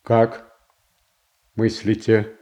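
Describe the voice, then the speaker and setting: Slow, mellow-voiced proud old prince. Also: male voice, on the low side, monotone. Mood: solemn. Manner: solemn, measured stage declamation. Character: proud old prince and boyar, stern, honorable, wary. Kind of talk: classical Russian verse tragedy in an audio play.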